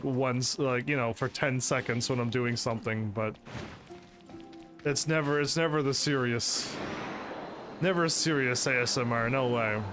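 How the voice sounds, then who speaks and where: A man streaming a video game, talking casually and pushing his voice up to sound lively and upbeat, laughing often. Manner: energetic, projects his voice higher and louder; chatty, laughs often, restarts sentences. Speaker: gaming streamer. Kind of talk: casual let's-play commentary.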